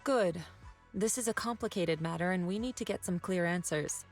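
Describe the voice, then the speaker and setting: Female game mentor: lower register, composed, commanding, measured, music underneath. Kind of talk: video game story dialogue acting.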